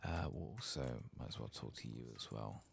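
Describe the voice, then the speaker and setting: A man with a gravelly, husky voice talking softly as he hosts an ASMR video game playthrough, at times drifting into whispers. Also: a bass voice, sing-song, normal speed. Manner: soft-spoken, murmuring, drifting into whispers. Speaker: host playing a video game for an ASMR channel. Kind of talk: soft-spoken ASMR game playthrough, reading quests aloud.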